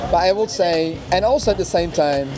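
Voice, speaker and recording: A man's voice: sits high, a little fast, crowd noise, low-quality recording. A young man from Turkey, a native Turkish speaker who teaches English, talking thoughtfully and sometimes searching for words. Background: background music.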